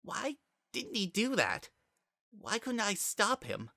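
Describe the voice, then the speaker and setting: A man voicing a lost, melancholic young spirit with a soft, hesitant delivery in a storybook reading. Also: high-pitched, wildly swooping pitch, slow pace, dry recording, clean studio sound, pitched above the performer's own voice, exaggerated voice. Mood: sad, confused. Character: lost, sentimental young spirit, wistful and confused.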